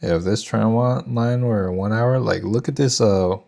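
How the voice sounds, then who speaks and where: A man's voice: on the low side, moderate pace, gravelly, mellow. A young man with a Texan accent, casually thinking aloud and chatting with viewers on a trading livestream. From Texas. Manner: thinks aloud, loose slangy talk to chat. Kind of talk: casual live market commentary.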